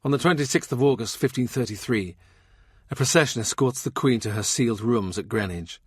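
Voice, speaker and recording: Measured male audiobook narrator, dry sound. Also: medium pitch, husky, dry recording. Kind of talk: scripted literary narration of a historical novel.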